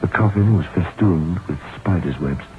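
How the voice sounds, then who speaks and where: An actor playing an anxious, haunted novelist in an old-time radio drama, speaking gravely and deliberately. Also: male voice, low voice, soft background music, close to an everyday voice. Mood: grave, brooding. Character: haunted, anxious male novelist narrating his ghost story. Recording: muffled, noisy old radio recording.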